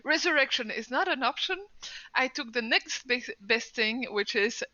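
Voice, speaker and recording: A woman's voice: sits high, lively intonation, moderate pace, pushed and bright, dry recording, muffled recording. A woman playing a tabletop game, explaining her cleric's new spells with a friendly manner. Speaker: player. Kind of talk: casual tabletop game chat.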